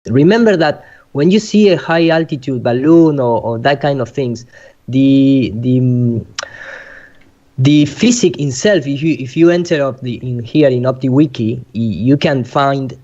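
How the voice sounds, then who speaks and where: A man gives an informal spoken explanation in a mid-pitched voice. He talks haltingly and searches for words. The recording is dull and lo-fi. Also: a little mellow. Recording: dull, lo-fi audio.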